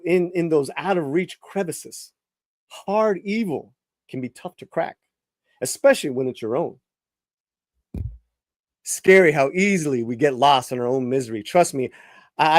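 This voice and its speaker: A man performing a dramatic live reading of his own first-person novel, swinging between hushed lines and emphatic ones, often restarting words. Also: fairly high pitch, dry recording, clean studio sound.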